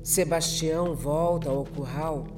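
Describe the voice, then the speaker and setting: A woman's voice: low voice, steady tone, takes it slowly, over music. A woman with a Brazilian accent reading scripted, dramatic narration for a radio serial.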